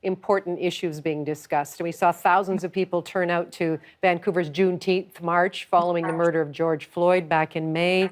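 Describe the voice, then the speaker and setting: A woman's voice: lowish voice, fairly even intonation, moderate pace, few pauses. A woman hosting a broadcast interview, asking questions in a conversational way with frequent restarts. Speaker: radio interviewer.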